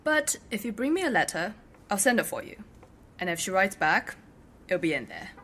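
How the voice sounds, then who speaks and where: An actress playing a bored, blunt teenage girl who answers with flat sarcasm. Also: mid-range voice, normal speed, slight background noise. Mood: deadpan. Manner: deadpan, sarcastic, dismissive.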